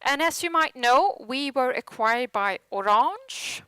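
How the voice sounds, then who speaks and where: Swedish accent lady late 40s giving a talk, chatty and self-deprecating, smooth clear voice, dry close sound. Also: sits high, animated, slow pace, a bit bright, no room sound. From Sweden. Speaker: conference speaker. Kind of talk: conference presentation. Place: conference room.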